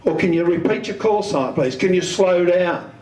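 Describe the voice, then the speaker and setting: An older man giving an instructional talk, deliberate and emphatic, putting questions to his listeners. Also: high-pitched, lively intonation, a little mellow. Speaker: speaker giving an amateur radio talk. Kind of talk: instructional lecture with questions to the audience. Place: community centre meeting room. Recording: echoey hall, distant microphone.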